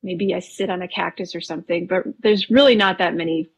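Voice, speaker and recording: A woman from Wisconsin in her thirties, a painter talking casually as a podcast guest, relaxed and chatty with frequent ums. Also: on the low side, a little fast. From Wisconsin, USA. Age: 30s. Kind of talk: casual interview conversation.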